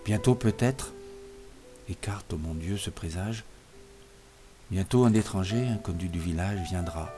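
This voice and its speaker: A man reciting poetry in a solemn, expressive literary style, in measured phrases that sink softer as lines end. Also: low voice. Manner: measured phrases falling softer at line ends. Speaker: poetry reader. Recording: music playing behind the voice. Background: music.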